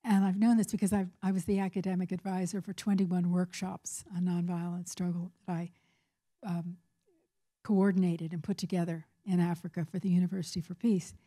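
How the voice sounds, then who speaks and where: An older woman speaking as a professor at a public talk, answering a question in deliberate, measured, emphatic phrases. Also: on the low side, flat delivery, dark tone. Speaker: academic speaker, professor of peace studies.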